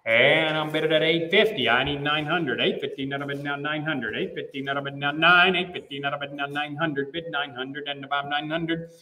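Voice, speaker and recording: A man's voice: on the high side, fairly even intonation, fairly quick, hardly any pauses, pushed and bright. A middle-aged American man working as an auctioneer, calling bids in a repetitive, rhythmic live auction chant. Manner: repetitive rhythmic bid-calling with filler phrases. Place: large indoor auction room. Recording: roomy, picked up at a distance.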